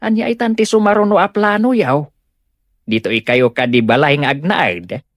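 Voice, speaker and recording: A man's voice: on the high side, animated, normal speed, no echo. A man playing a firm, protective father in an audio comedy drama. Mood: caring. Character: firm, protective father standing up for his daughter. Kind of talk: Ilocano comedy radio-style drama acting.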